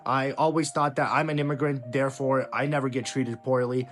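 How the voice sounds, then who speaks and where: A man's voice: mid-range voice, little pitch movement, brisk, faint music behind. A young man from Ukraine giving opinionated political commentary in an emphatic, indignant way, swearing now and then. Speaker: YouTube commentator.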